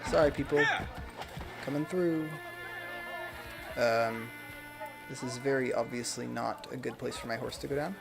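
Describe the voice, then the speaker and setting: A young adult man streaming a game from home, chatting casually and reading viewer comments aloud in a soft, self-deprecating way, speaking into a desk microphone. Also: medium pitch, fairly even intonation, measured pace, creaky, long pauses. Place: home room. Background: game music.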